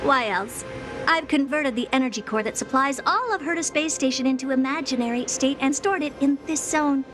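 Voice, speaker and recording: A woman's voice: sits high, fairly quick, music in the background, natural voice. An actress playing an aloof, condescending woman scientist in a video game, blunt and offhand. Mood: dry explanation. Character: haughty, aloof genius woman scientist, dismissive and impatient. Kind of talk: video game character acting.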